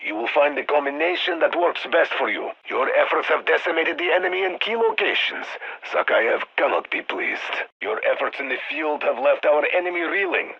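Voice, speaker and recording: A man's voice: fairly high pitch, very animated, normal speed, creaky, husky, dull audio, full character voice. A man playing a stern, dry Russian military handler in a video game, giving clipped orders over radio in a Russian accent. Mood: firm, briefing. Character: stern, dry Russian soldier commanding a strike team. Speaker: mission handler.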